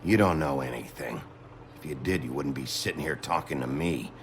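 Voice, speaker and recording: An actor playing a gruff, weary, sardonic detective with a gravelly voice in a dramatic video game. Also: male voice, rumbling low, normal speed, slight background noise. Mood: bitter. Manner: terse, sardonic, swearing.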